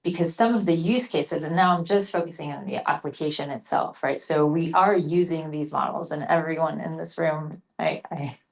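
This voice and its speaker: A woman's voice: deep voice, moderate pace, gravelly, hardly any pauses, low-quality recording. A woman moderating a session, asking an unscripted academic question in formal, carefully searched-for technical phrasing.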